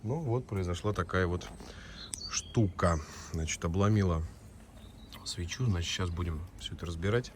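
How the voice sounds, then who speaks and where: A hoarse male mechanic casually walking through an engine teardown in a repair garage, matter-of-fact and a little rueful. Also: sits low, normal speed, pauses often, background noise. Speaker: auto mechanic, channel host. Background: music.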